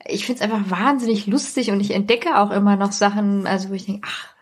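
A husky, slightly rough-voiced woman in middle age. She sits at an average pitch and talks in a loose, conversational podcast style. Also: fairly even intonation, fairly quick. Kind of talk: casual podcast chat.

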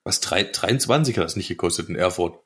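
A man's voice: low-pitched, animated, a little fast, a bit bright, slightly rough. A man co-hosting a casual music podcast, explaining things in colloquial language. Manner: colloquial, explanatory, with tag questions. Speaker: podcast co-host.

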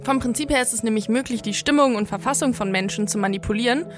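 A woman's voice: fairly high pitch, quick pace, music in the background. A young adult woman co-hosting a podcast, chatting casually and presenting. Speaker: podcast co-host.

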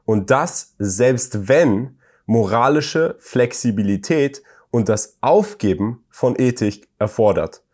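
A man co-hosting a podcast, speaking in an earnest, measured way and slowing down on key phrases. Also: mid-range voice, lively intonation, darkish tone. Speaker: podcast co-host. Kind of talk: casual conversational podcast.

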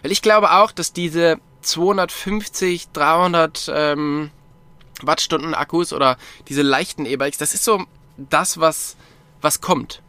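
A man's voice: fairly high pitch, unhurried, sharp tone, clear, resonant tone, dry recording. A man chatting casually as a podcast co-host about cycling, rambling with many fillers and restarts. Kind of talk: casual chat.

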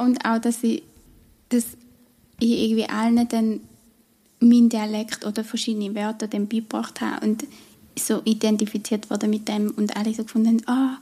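A young woman with a Swiss German accent, talking casually as a podcast co-host. Her voice is fairly high but flat in melody, mellow and slightly husky. She speaks haltingly, with pauses and fillers. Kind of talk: casual literary podcast chat.

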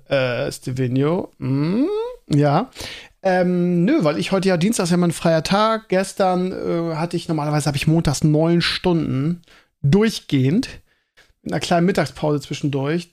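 Guy in his 30s rambling on his podcast, voice a bit high, super casual, keeps cutting himself off. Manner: rambling, self-interrupting, colloquial. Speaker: podcast host; school teacher. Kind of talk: casual solo podcast monologue.

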